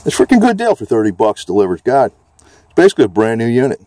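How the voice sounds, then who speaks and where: A man's voice: mid-range voice, wildly swooping pitch, fast talker, warm tone, slight background noise. An American man talking casually and off the cuff as he reviews a product, rambling and plain-spoken with some mild swearing. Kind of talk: casual unscripted product chat.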